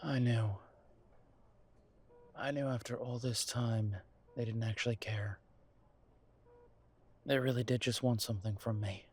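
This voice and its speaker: A man's voice: medium pitch, steady tone, a little slow, pauses often, husky. A man playing a wounded, bitter werewolf son in an audio drama, guarded and weary with a sarcastic edge. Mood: hurt, weary. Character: bitter, wounded adult werewolf son confronting his parents. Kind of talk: audio roleplay drama acting.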